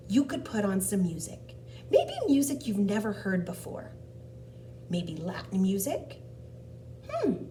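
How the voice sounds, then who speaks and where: A woman narrates a picture book for young children at an unhurried pace with expressive delivery. She sounds slightly away from the microphone, with some background noise. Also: medium pitch. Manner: expressive, deliberate, pauses to ask questions. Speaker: children's read-aloud host. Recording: distant mic, background noise.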